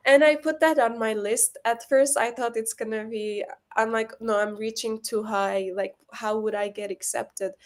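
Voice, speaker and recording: A woman's voice: a little high, fairly even intonation, normal speed. A young woman from Lebanon speaking as a guest on a video-call webinar, chatting casually with many fillers and restarts. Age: young adult. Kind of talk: webinar interview.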